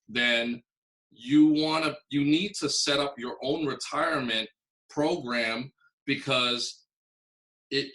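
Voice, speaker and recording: A man's voice: medium pitch, bright, forceful tone, poor audio. A man hosting a video, giving money advice in a loose, direct way, with casual swearing and frequent check-ins with his viewers. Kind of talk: casual talk to camera.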